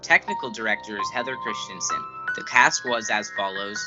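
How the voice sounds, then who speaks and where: A man's voice: on the low side, brisk. A young man announcing scripted credits for a radio drama. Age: young adult. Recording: dull sound over music.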